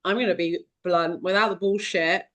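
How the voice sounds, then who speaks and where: A woman hosting a podcast interview, speaking in a halting way as she searches for words. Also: on the low side, fairly even intonation. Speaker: podcast host.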